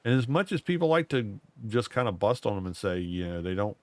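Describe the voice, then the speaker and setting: A man, streaming a game and muttering to himself in a drawling, self-mocking way, his voice going hoarse. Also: fairly low pitch, big pitch swings, fairly quick, darkish tone, no room sound. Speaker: gaming streamer. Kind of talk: casual gameplay commentary.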